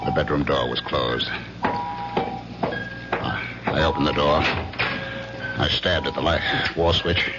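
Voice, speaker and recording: An actor playing a despondent, jealous husband who narrates his own story deliberately in an old-time radio drama. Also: rumbling low. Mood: brooding, tense. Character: despondent, jealous, brooding husband, a former electronics executive. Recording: muffled radio recording with loud music behind.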